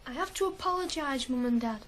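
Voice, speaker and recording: A man's voice: very high voice, animated, a little fast, background noise. A teenage male actor playing a headstrong, lonely farm lad in a radio drama, intense and stubborn. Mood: tender, remorseful. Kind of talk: 1980s radio drama acting.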